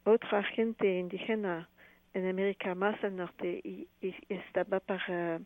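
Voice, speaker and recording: A woman, a playwright and actress speaking Spanish as a second language, answering interview questions haltingly with many restarts. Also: medium pitch, fairly even intonation, dry recording. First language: not Spanish. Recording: tinny phone line.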